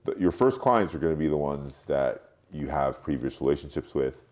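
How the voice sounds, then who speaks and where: A man in his late forties who grew up in Europe, speaking reflectively as an interview guest, haltingly, thinking aloud and repeating words. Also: very deep voice, animated, darkish tone. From Europe, mostly France, born in Cameroon. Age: late 40s. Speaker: podcast guest, marketing strategist.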